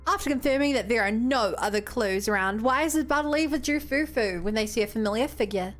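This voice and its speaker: A woman's voice: a little high, quick pace, faint music behind. A woman with a New Zealand accent, a game streamer chatting casually and reading on-screen text aloud.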